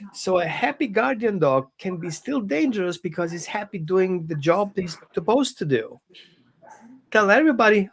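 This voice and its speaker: Brief: man, high voice, dog trainer explaining with examples. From Greece and Austria. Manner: non-native phrasing, explains with examples and quoted dog thoughts. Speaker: dog behavior consultant. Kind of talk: explaining on a live show.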